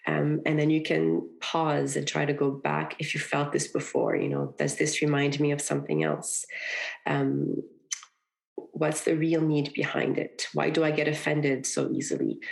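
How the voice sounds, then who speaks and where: A woman from Egypt, a guest on a podcast, talking earnestly and reflectively in a relaxed interview, often adding "you know" and "right". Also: sits low, vocal fry. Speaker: podcast guest, parenting coach. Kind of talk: conversational interview.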